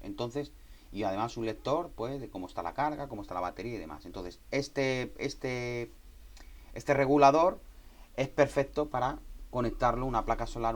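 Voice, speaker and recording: A man giving an informal hands-on technical tutorial, explaining step by step and often repeating and rephrasing as he goes. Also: lowish voice. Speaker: tutorial presenter.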